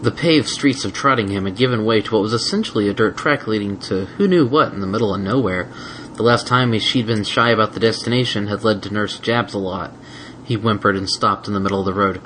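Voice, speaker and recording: A man's voice: lowish voice, a little fast, fairly bright tone, low-quality recording. A man reading a scripted story aloud as an audiobook narrator, with faint noise on the recording. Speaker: audiobook reader.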